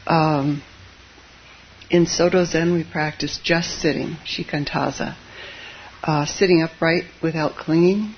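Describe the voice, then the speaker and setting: Soft-spoken Zen teacher, lo-fi hiss. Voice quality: soft voice that tends to drop low. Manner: hesitant, with frequent fillers and wry asides. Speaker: Zen teacher giving a dharma talk. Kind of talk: gentle, informal dharma talk. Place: meditation hall with a live audience. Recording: dull lo-fi audio with faint hiss.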